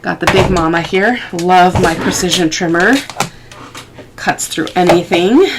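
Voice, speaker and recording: Sniffly, lower-pitched woman crafting on camera. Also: noisy background. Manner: unhurried, halting, thinking aloud while working. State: sniffly with a head cold. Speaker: crafting tutorial host. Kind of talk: casual, rambling craft process talk. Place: indoors at a craft table.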